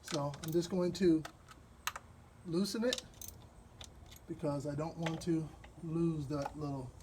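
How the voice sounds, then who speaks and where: A man from Wisconsin with a Midwestern accent, explaining a car repair step by step in a relaxed, rambling way while he works. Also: on the high side, noisy background. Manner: relaxed, rambling, with fillers and pauses while working. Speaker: DIY car repair host. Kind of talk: hands-on repair walkthrough.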